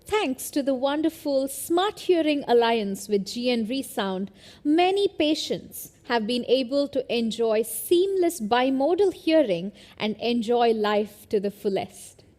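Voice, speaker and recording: A woman with a fairly high voice delivers a scripted promotional presentation. She speaks as a company presenter at a product launch. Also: lively intonation, measured pace, darkish tone.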